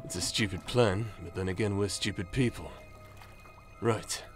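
A man's voice: on the low side, moderate pace, lightly acted. Casting: male actor for a cocky rogue paladin, crude and flirty, teasing delivery, audio roleplay, faint music underneath. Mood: mischievous. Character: cocky, vulgar, flirtatious bastard knight paladin, younger brother. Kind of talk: anime-style audio roleplay acting.

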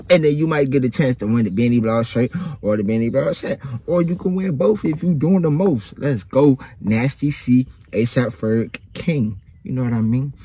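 Man, American accent, reaction host, hyped, slangy, mid-pitched voice. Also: warm tone, husky, no echo. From United States. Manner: hyped, repetitive, slangy, talks straight to viewers. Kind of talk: casual reaction commentary.

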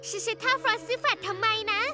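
Confident young girl cartoon superhero, extremely high and eager, music strong underneath. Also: moderate pace. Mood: earnest. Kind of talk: animated children's cartoon dub. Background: loud music.

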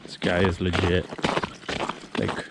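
A man with a lowish voice jokes and teases his friends outdoors on a frozen lake. Loud outdoor noise runs behind him. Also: flat delivery, slow, creaky. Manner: joking, teasing. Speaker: fishing friend. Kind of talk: casual chat outdoors. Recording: loud noisy outdoor recording.

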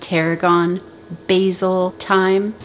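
A woman's voice: on the low side, level tone, heavy background noise. Chatty woman teaching home canning. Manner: chatty, self-deprecating, brisk instructions. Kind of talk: casual how-to talk to camera. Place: home kitchen, indoors. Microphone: camera at close range.

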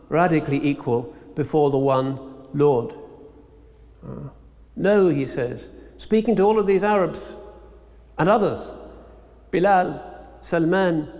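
High-pitched man preaching in a reverberant hall. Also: darkish tone. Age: middle-aged. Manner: measured, solemn, rhetorical short phrases with pauses. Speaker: imam giving a sermon. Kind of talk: Eid sermon. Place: large mosque interior, at a lectern. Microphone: microphone on a stand.

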